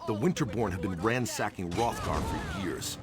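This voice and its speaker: Proud orc soldier, low and measured, grimly skeptical; game dialogue over loud battlefield din. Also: male voice, animated. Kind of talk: video game character dialogue. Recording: loud battlefield noise behind the voice. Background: battle ambience with artillery.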